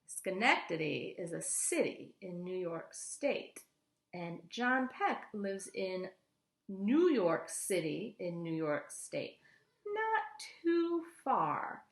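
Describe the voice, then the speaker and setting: A woman reading a picture book aloud like a teacher, with expressive, deliberate storytelling and simple explanations for children. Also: mid-range voice, vocal fry, reverberant.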